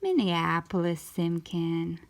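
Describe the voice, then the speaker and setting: Storybook mother, read by a woman: kindly, playful, musing, high lilting swoops, unhurried. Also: lively intonation. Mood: fond. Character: kindly, playful mother humouring her child.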